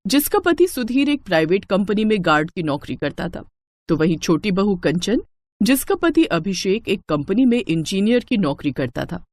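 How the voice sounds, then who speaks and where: A woman's voice: medium pitch, sing-song, normal speed, darkish tone, dry recording. A woman narrator reading a scripted cartoon family story.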